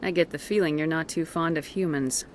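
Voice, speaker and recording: A woman's voice: deep voice, steady tone, fairly quick, slight background noise, natural voice. A woman playing a blunt, assertive military commander in a video game, speaking in a direct, firm way. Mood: challenging, firm. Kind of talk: video game dialogue acting.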